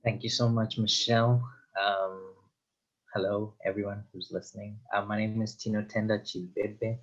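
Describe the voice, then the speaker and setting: Low flat-voiced young man. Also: moderate pace, dull audio. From Zimbabwe. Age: young adult. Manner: thoughtful, with frequent ums and uhs. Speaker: guest author. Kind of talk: interview answers.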